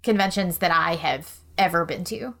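A woman's voice: lowish voice, moderate pace, fairly bright tone. A woman chatting casually as a guest on a podcast.

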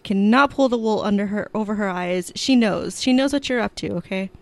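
An upbeat woman co-hosting a podcast, teasing her partner in casual chat and restarting her sentences often. Her voice sits at middling pitch in a dry, close recording with no room sound. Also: fairly quick, dry recording. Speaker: podcast co-host.

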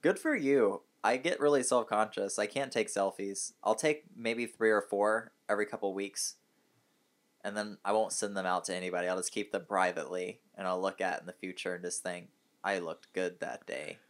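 Hungover guy w a lowish voice just telling stories on a casual podcast. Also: a little fast. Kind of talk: casual chat, storytelling.

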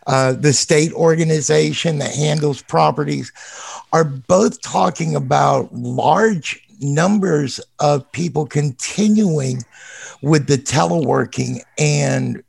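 Slow gravelly man with a measured delivery. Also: a little high, a little mellow, no room sound. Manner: slow and measured, hesitant with many uhs. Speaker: caller, organization president. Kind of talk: call-in question.

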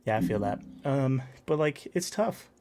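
A man's voice: medium pitch, expressive, moderate pace, darkish tone. Guy just chatting away casually, upbeat and into it, regular mid voice. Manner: enthusiastic, upbeat. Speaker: podcast host and game master. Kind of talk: casual chat.

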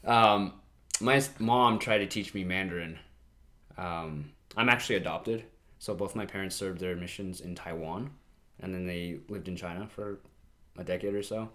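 A man's voice: deep voice, moderate pace, a bit bright. A man co-hosting a podcast in casual chat, with joking asides. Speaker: podcast co-host.

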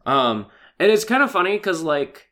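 A man with a hoarse voice from a cold, co-hosting a casual podcast chat and laughing as he talks. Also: high voice, moderate pace. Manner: animated, laughing, swearing. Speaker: podcast co-host.

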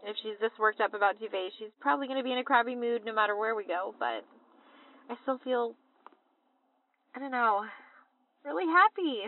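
A woman's voice: fairly high pitch, animated, normal speed, pauses often, no room sound. Gushing young American woman on a tinny phone. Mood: amused. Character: gushing young American woman travelling in London, chatty little sister. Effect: phone voicemail filter. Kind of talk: audio drama, casual voice message.

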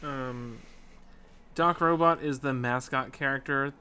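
A man's voice: medium pitch, takes it slowly, bright, forceful tone, clean tone. A man co-hosting a podcast in casual, joking conversation about a video game, hesitating as he thinks aloud. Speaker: podcast co-host.

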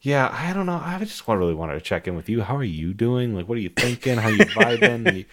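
A man with a booming, loud voice co-hosting a podcast in casual, jokey, profane and animated chat. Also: fairly quick, a crowd in the background. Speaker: podcast co-host.

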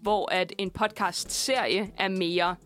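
En ung mand, der vært i et radiostudie og snakker løst og fyldord-tungt. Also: male voice, high-pitched, fairly even intonation, a bit bright, soft background music. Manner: rambling, many fillers and restarts. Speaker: radio host. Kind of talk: casual studio chat. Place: student radio studio.

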